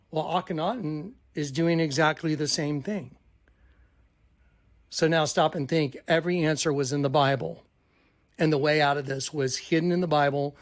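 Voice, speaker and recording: A man's voice: fairly high pitch, no echo, studio quality. A man preaching urgent warnings about hidden spiritual truths and quoting scripture. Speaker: channel host. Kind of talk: preaching commentary.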